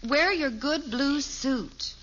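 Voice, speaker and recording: A woman playing a patient, practical, gently firm middle-aged mother in a 1950s radio sitcom. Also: sits high, takes it slowly. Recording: muffled, hissy old radio recording.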